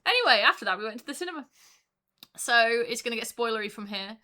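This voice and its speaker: A woman co-hosting a casual podcast, chatty and quick to laugh, with lots of fillers. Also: on the high side, lively intonation, bright, forceful tone. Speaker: podcast co-host. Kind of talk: casual chat.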